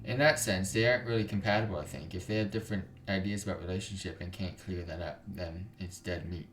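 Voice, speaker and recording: Deep male gamer, casual rambling let's-play commentary, echoey room with faint hiss. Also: quick pace, a bit bright. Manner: casual, rambling, filler-heavy. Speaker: gaming channel host.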